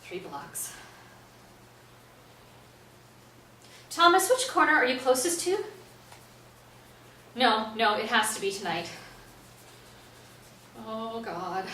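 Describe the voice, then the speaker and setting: Actress as high, bright-voiced, anxious woman playwright. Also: sing-song, full character voice. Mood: desperate. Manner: halting, pleading, exclamatory. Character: agitated, anxious woman playwright, talking to herself. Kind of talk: staged play reading. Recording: roomy stage, distant mic, faint noise.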